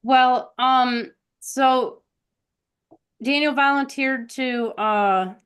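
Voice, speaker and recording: A woman's voice: high-pitched, very slow, a bit bright, smooth. Chatty woman hosting a casual live stream. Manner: informal and chatty, talking directly to listeners. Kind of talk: casual conversational storytelling to a live audience.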